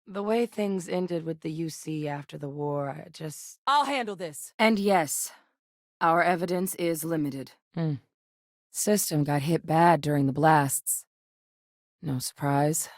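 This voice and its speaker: An actress playing a seasoned, serious military scientist in a sci-fi video game, speaking in a measured, earnest and authoritative way. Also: female voice, sits low, dry recording, clean studio sound. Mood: subdued, reflective. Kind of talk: scripted game character dialogue.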